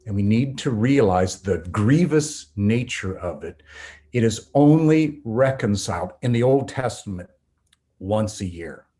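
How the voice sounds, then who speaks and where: A man, a pastor and Bible professor, explaining scripture in an earnest, preacherly way, slowing and speeding up for emphasis. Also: lowish voice, lively intonation, warm tone. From North America. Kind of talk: teaching conversation.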